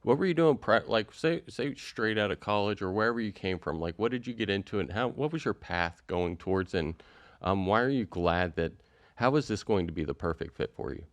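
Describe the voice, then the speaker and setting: Man, podcast host, rambling, lots of fillers, smooth, dry studio sound. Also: fairly low pitch, lively intonation, fairly quick, no room sound. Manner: chatty, rambling with many fillers. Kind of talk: casual podcast interview.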